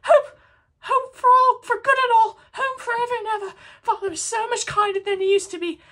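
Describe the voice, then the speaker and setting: A man's voice: fairly even intonation, fairly quick, bright, forceful tone, full character voice. A man doing a squealing, gleeful little girl. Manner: very high, squealing and laughing.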